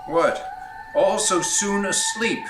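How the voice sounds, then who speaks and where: A despairing, weary king played by a man speaks slowly in a slightly high voice. The Shakespearean audio drama recording is echoey and noisy. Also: over music. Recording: echoey room, noisy remote microphone.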